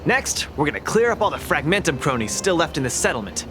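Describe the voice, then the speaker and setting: An upbeat young fighter from an anime-style game. His voice sits fairly high and swings animatedly, breezy, teasing and sure of himself. Music runs behind him. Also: sing-song, fast. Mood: eager, encouraging. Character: cocky, upbeat young male fighter eager to train others.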